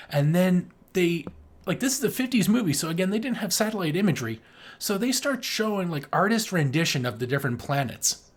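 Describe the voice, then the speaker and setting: A man co-hosting a podcast, chatting casually about film in a rambling, jokey, sweary way. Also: fairly high pitch. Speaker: podcast co-host.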